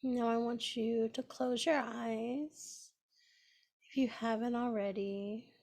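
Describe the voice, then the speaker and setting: A woman guides a meditation at an extremely slow pace. Her voice sits a little high and often crackles into fry. She is gentle and soothing, leaving long pauses between phrases. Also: fairly even intonation.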